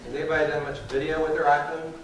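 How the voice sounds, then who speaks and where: An older man with a slightly raspy voice leads a class, upbeat and quizzing his listeners. He is heard from across an echoing room, with clear background noise under his voice. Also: a little high, level tone, fast talker. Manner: upbeat, asks the audience questions. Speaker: class presenter. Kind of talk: casual class talk. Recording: echoey room, far from the mic, with noise.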